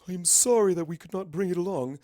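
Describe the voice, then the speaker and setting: A courteous, regal pony princess, acted by a narrator. The speech is formal and the pitch is lifted, as a character in a story reading. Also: quick pace, mellow, no echo, studio quality, close to an everyday voice. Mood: apologetic.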